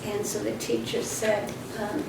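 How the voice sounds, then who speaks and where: A woman in her sixties, born in Poland with Polish as her first language, telling a halting, reflective childhood story in an interview. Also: medium pitch, completely flat delivery, vocal fry. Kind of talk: oral history recollection. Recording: echoey room, distant mic, background noise.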